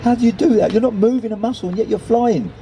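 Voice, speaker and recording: Man doing an eager pigeon, high-pitched. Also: little pitch movement, rapid-fire, warm tone, a little hiss or hum, natural voice. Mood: amazed. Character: curious, eager male pigeon.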